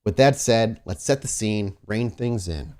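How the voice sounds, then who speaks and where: A man's voice: on the low side, lively intonation, measured pace, darkish tone. A man speaking casually as host and game master of a tabletop roleplaying podcast, chatting and setting scenes. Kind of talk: casual chat moving into improvised scene narration.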